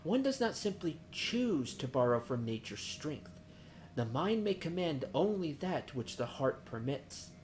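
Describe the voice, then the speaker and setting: A man voicing a calm, wise guildmaster and mentor of nature magic in a fantasy game, formal and measured. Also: fairly high pitch, a little hiss or hum. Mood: solemn, reassuring. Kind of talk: reading fantasy game dialogue aloud.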